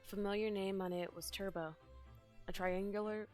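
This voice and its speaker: A woman's voice: mid-range voice, steady tone, normal speed. A woman streamer reading game dialogue aloud and translating it, in a dramatic narrating manner. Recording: streamed with game music behind.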